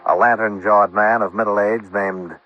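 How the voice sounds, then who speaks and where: A muffled vintage radio track with faint music. A man plays a businesslike American investigator, low-voiced, wry and probing, in classic radio detective manner. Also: fairly even intonation, normal speed, lightly acted. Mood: businesslike narrating. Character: brisk, probing male freelance insurance investigator, wry and businesslike. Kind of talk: 1950s radio detective narration and dialogue.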